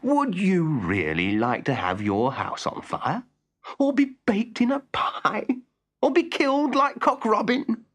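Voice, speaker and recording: A man voicing a suave, kindly, self-admiring male snail in a storybook, with smooth, flattering talk. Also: high voice, takes it slowly, full character voice. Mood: kindly reassuring.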